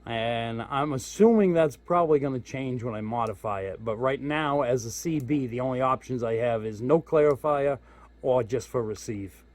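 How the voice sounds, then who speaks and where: A man's voice: medium pitch, moderate pace, a little mellow. A man giving a casual hands-on product walkthrough as a hobbyist reviewer, informal and thinking aloud as he goes. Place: indoors at home.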